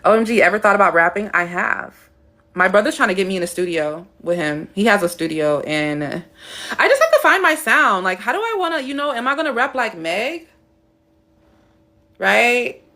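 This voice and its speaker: A woman's voice: sing-song, moderate pace, bright, forceful tone. Blunt, playful woman live-streaming. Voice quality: playful, shifts her voice between high and deep. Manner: blunt, emphatic and swearing, reading out chat comments.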